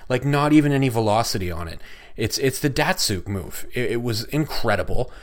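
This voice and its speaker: A man hosting a solo hockey podcast, recapping a game in an excitable, chatty way with lots of swearing, his voice going hoarse. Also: medium pitch, fairly quick. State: losing his voice. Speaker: podcast host.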